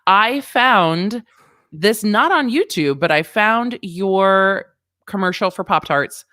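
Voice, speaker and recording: A woman hosting a casual livestream chat, lively and encouraging as she talks with her guest over a video call microphone. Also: mid-range voice, slow pace, dry recording, studio quality. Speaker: host.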